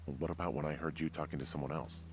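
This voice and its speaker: A man's voice: very deep voice, steady tone, fast, heavy background noise. An actor playing a weary, plainspoken male fire lookout in a video game, speaking in a dry, hesitant, conversational way. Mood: uneasy. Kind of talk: naturalistic video game dialogue.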